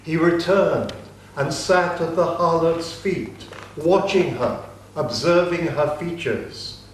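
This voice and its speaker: A man narrating an ancient epic in a measured, solemn dramatic reading. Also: a little high, slight background noise. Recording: distant mic, echoey room.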